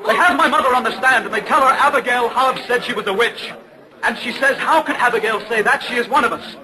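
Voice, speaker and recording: An actor playing an angry, frantic son who protests bitterly and vehemently, in old radio drama style. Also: male voice, very high voice, fast, a crowd in the background, rough recording. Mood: frenzied indignation. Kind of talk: 1940s radio acting.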